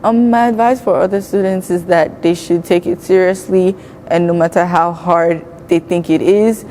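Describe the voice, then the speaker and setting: A young woman student answering interview questions in a soft, casual way with frequent fillers. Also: fairly low pitch, steady tone, moderate pace, a little hiss or hum. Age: young adult.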